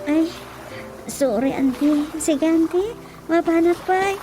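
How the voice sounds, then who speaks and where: A polite, troubled village woman with an Ilocano accent , played by an actress, speaks deferentially in a high voice. It is radio drama acting over prominent music. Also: takes it slowly, low-quality recording. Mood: polite, subdued.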